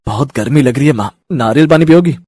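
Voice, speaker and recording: A man's voice: medium pitch, quick pace, dark tone, slightly rough, dry recording, studio quality, close to an everyday voice. A man voicing an easygoing, supportive young husband and son in a cartoon story. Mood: agreeable. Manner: chatty, agreeable. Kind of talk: Hindi cartoon moral story.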